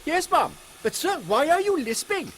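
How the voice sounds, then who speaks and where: A man's voice: very high-pitched, sing-song, moderate pace, fairly bright tone, heavily acted. A man voicing a polite, eager Indian engineer and loyal aide, formal and deferential, in a steampunk audio comedy.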